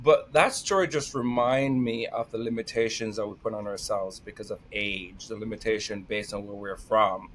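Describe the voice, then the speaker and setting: Energetic Jamaican man telling stories. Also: fairly low pitch, moderate pace, few pauses, a little hiss or hum. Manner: energetic, animated, laughs often. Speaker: podcast guest. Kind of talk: storytelling conversation.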